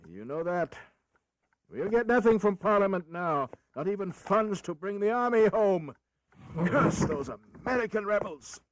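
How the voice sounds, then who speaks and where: A close, dry recording of a weary statesman. His voice sits fairly high and swings widely, with bitter irony and theatrical flourish, as in a historical audio drama. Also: expressive, a little slow, no echo, low-quality recording. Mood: exasperated. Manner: theatrical, wry, deferential to the king.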